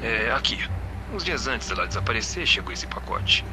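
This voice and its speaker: A man's voice: on the low side, sing-song, normal speed, noisy background. A man playing a bitter, grieving middle-aged Brazilian father in an audio drama. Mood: subdued, recalling.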